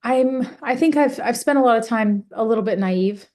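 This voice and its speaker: A young woman speaking on a webinar panel over a video call, earnest and halting, with many fillers and restarts. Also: fairly high pitch, a little mellow. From United States. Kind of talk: webinar testimony.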